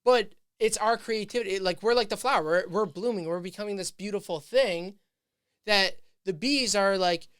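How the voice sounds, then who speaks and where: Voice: mid-range voice, moderate pace, a bit bright, clean tone, no echo, clean studio sound. A podcast host thinking aloud in casual chat, rambling with many fillers and restarts.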